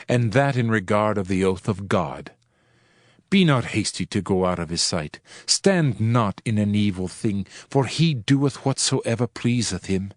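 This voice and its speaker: A man narrating a dramatized scripture reading in a solemn, measured way with archaic phrasing. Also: fairly low pitch, no room sound, studio quality.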